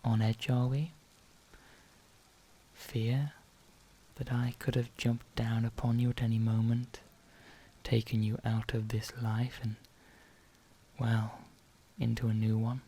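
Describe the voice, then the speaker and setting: A man playing an ancient, condescending vampire in an ASMR roleplay, speaking softly and deliberately, often slipping into a whisper, with calm menace. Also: medium pitch. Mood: calm, menacing. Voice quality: soft, breathy, often dropping into whisper. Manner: slow, deliberate, pausing, formal.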